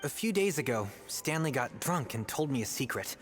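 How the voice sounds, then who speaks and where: A man's voice: medium pitch, very animated, moderate pace, lightly acted. A man voicing an earnest rookie adventurer. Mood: pleading. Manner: earnest, eager to prove himself. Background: game music.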